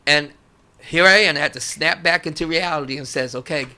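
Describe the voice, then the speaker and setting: A man speaking as an interview guest, telling stories casually and haltingly, repeating phrases as he goes. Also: on the high side, pushed and bright. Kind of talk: casual conversational storytelling.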